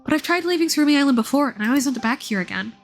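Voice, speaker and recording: A woman voicing a gentle, innocent boy in a video game, earnest and full of wonder. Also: high voice, animated, racing, faint music behind. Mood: wonderstruck.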